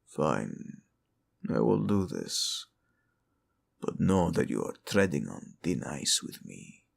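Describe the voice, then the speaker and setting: A man voicing a grim undead death knight servant in a fantasy audio roleplay, breathy and terse, with a sullen menace. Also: very deep voice, very animated, takes it slowly, voice pushed down, full character voice. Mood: resentful, threatening. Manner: terse, menacing, reluctantly obedient.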